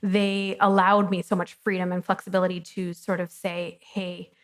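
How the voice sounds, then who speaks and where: A woman's voice: lowish voice, flat delivery, normal speed. A woman guest at a coworking fireside chat, talking candidly and casually about her career, with plenty of ums. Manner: chatty and candid, with frequent ums. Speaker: guest, company co-founder. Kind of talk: casual conversational interview. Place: coworking studio, fireside chat before an audience.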